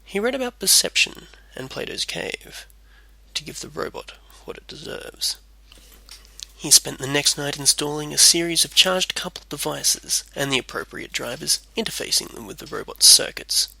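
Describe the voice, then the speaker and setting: A man's voice: fairly high pitch, expressive, moderate pace, gravelly, pushed and bright, dry recording. A man reading a scripted storybook tale as its narrator.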